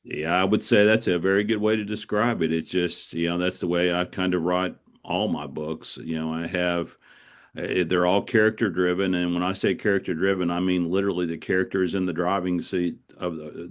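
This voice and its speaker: Very deep-voiced man in an interview. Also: steady tone, brisk. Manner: reflective, anecdotal, often repeats words as he goes. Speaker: author guest. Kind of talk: conversational interview answers.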